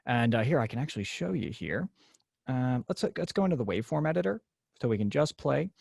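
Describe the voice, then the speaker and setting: A man teaching a software class over a video call, explaining casually with lots of fillers. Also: medium pitch, big pitch swings, fast, gravelly, slightly rough, no room sound, clean studio sound. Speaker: class instructor.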